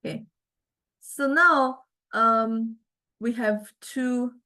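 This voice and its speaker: A woman with a fairly high voice teaches as a lecturer, walking through software in an informal way. She speaks haltingly, thinking aloud between steps. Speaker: music lecturer.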